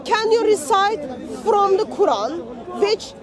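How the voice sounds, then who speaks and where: A woman's voice: very high-pitched, very animated, slow. A woman debating outdoors in a public square, pressing the same question again and again. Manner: persistent, repeats her question. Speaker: street debater. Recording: loud crowd around.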